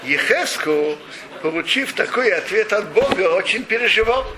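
A man's voice: sits high, moderate pace, rough recording. A man giving a religious lesson, retelling an old story with rhetorical questions and frequent restarts. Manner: frequent restarts and self-corrections. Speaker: religious teacher. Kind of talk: Torah lesson retelling a midrash. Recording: steady background noise.

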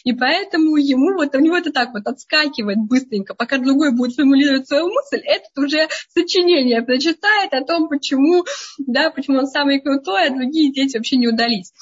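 A woman speaker answering audience questions in a live online talk, lively and quick, with frequent asides. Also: high voice, muffled recording.

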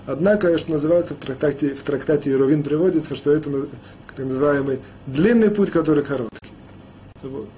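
A man teaching a Torah lesson as a rabbi, talking haltingly with many restarts and fillers. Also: a little high, dark tone, a little hiss or hum. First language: Russian, rarely used in daily life. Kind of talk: spoken Torah lecture.

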